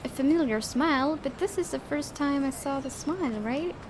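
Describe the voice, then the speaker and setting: A woman's voice: high-pitched, normal speed. A woman hosting a gaming video, reading a visual novel aloud and commenting casually. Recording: clearly noisy home recording.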